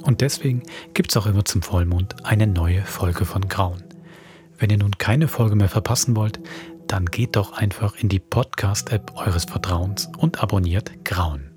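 A man hosting a podcast, reading a scripted outro in a hushed, breathy delivery. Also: fairly low pitch, measured pace, faint music behind. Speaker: podcast host.

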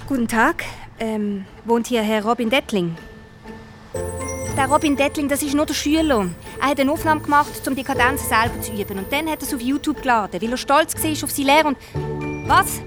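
An actress as a stubborn woman violinist in a radio play, with a Swiss accent and a highish voice. She sounds impulsive and insistent, in a natural style. Music plays clearly behind her. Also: moderate pace, close to an everyday voice. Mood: evasive.

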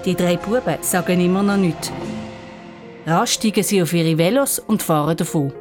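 A woman's voice: lowish voice, normal speed. A woman narrator reading a scripted children's story. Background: music.

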